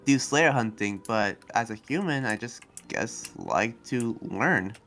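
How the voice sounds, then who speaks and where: A man playing an earnest, hesitant demon slayer and researcher in an improvised Minecraft anime roleplay, speaking haltingly and trailing off. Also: mid-range voice, animated, gravelly, a bit bright, a little hiss or hum, exaggerated voice. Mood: earnest, explaining.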